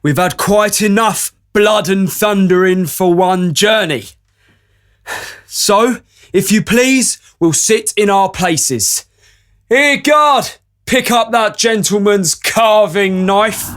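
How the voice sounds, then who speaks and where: A high, bright-voiced gentleman hero of a period adventure drama, played by a man. He is bold and polite, with a slow, assured delivery. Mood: firm, commanding. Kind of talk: theatrical audio drama acting.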